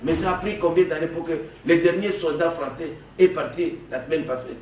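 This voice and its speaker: A man from the Congo giving an animated political interview, emphatic and prone to stammering restarts. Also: fairly high pitch, a little fast. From Democratic Republic of the Congo. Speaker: political guest. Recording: echoey room, distant mic, faint noise.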